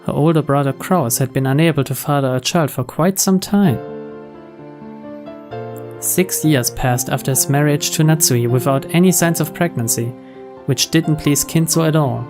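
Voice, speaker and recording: Story narrator over soft music. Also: mid-range voice, normal speed. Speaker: narrator reading the game's translated text. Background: background music.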